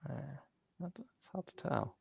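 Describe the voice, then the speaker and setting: A man's voice: moderate pace, a little mellow, a little hiss or hum. A man chatting casually as co-host of a fan discussion show, thinking aloud a little hesitantly. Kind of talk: casual chat, reading out viewer comments.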